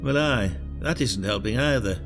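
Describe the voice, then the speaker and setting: A man's voice: on the low side, moderate pace, music in the background, lightly acted. An actor playing a weary, blunt older retired detective in an audio drama, brooding and old-fashioned in his wording. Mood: blunt, exasperated.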